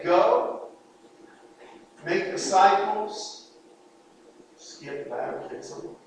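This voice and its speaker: A man's voice: a little high, slight background noise. A man preaching a sermon, earnest and pleading, pressing his points with emphatic repetition. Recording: echoey hall, voice from far off.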